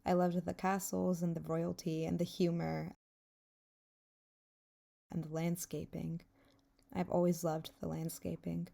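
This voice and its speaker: Mellow, creaky-voiced young woman narrating. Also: lowish voice, level tone, unhurried, long pauses. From England until age ten, then the US. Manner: reflective, wistful. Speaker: vlogger narrating her own video. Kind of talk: scripted reflective voice-over narration.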